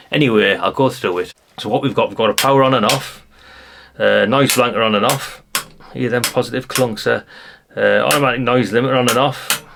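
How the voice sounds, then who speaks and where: A man's voice: lowish voice, gravelly, fairly bright tone. A British man giving a casual, chatty hands-on show-and-tell as host of a hobby channel. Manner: informal, chatty, colloquial.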